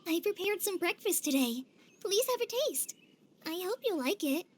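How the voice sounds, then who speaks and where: A woman's voice: very high-pitched, animated, normal speed. A woman voicing a sweet, modest teenage girl ranger trainee in a video game, speaking politely and earnestly. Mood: sweet, eager. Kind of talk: animated video game dub. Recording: music behind the voice.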